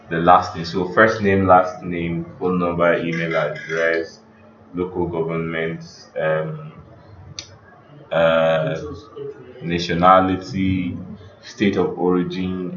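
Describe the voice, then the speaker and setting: A man giving a screen-share coding tutorial as a teacher, explaining step by step in a halting, instructional way. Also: low voice, fairly bright tone. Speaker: computer teacher. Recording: muffled with background noise.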